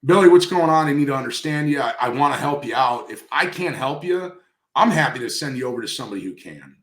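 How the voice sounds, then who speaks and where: Guy giving career advice in an interview, emphatic, repeats stuff for stress, mid pitch, echoey room. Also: animated, fast, a bit bright. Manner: emphatic, coaching, acts out example dialogue, repeats key phrases for stress. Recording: roomy, echoey sound.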